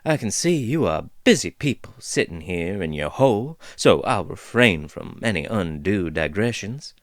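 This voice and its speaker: A man's voice: low-pitched, big pitch swings, normal speed, dry recording, studio quality. A man voicing a chatty female dwarf who rambles in a folksy drawl, in a storybook reading. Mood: chirpy, rambling. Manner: meandering, drawling, folksy turns of phrase.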